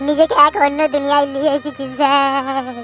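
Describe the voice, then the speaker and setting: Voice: sits high, flat delivery, a little fast, sharp tone. A performer voicing a dramatic, self-pitying young husband in a cartoon, pouring out long, florid complaints. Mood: aggrieved. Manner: long wailing complaints full of florid images. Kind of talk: cartoon dub acting.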